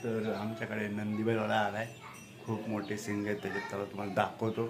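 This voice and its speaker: A man's voice: lowish voice, monotone, fast talker, music in the background. A man speaking casually as a vlogger, chatting with the people around him. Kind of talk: casual vlog chat. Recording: noisy outdoor phone-style recording.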